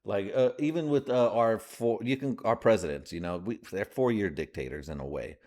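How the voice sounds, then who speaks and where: Deep low voiced guy rambling on his podcast, slangy, swears a lot, lots of "you know". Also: normal speed, warm tone. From United States. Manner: slangy, profane, rambling with many fillers. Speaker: podcast host. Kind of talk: casual rambling podcast monologue.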